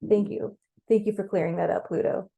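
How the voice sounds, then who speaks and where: A woman's voice: mid-range voice, fairly even intonation, a little fast, gravelly, dark tone. A woman voicing a curious, polite traveler in a guided story, hesitant and often repeating words as they ask questions. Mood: polite, eager. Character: curious, hesitant, polite listener exploring a dream realm.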